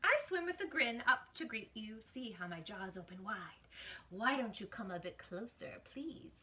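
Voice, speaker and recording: A woman's voice: a little high, expressive, moderate pace, recorded from a distance, noisy background, natural voice. A woman voicing a grinning, sly picture-book shark with a coaxing, mock-polite menace.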